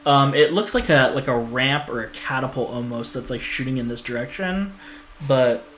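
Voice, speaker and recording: A man's voice: mid-range voice, moderate pace, a bit bright. A man talking casually on a stream, hesitant and full of fillers and restarts. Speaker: lore streamer. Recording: echoey room with faint noise.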